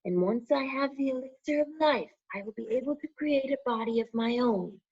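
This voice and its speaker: Woman doing a cruel male dark wizard, sneering, raised strained voice, gravelly, muffled audio. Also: fairly high pitch, a little fast, pitched above the performer's own voice. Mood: menacing. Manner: sneering, threatening. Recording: muffled lo-fi recording.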